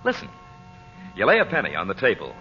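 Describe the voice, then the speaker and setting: An American man announcing and pitching on old-time radio in an emphatic, salesmanlike style, speaking straight to the listener. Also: wildly swooping pitch, quick pace, soft background music. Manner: salesmanlike, emphatic, direct address. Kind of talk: 1940s radio advertising announcing. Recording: dull vintage radio broadcast recording.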